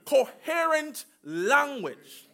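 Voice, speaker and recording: A man preaching a sermon with emphatic call-and-response and slow, drawn-out phrases. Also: very high-pitched, sing-song, a bit bright.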